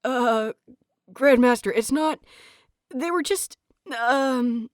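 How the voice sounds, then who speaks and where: A woman voicing a flustered, eager young male disciple in an audiobook story, stammering and rushing in a strained way. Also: fairly high pitch, no echo, clean studio sound, voice pushed up. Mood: panicked. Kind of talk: dramatised audiobook reading.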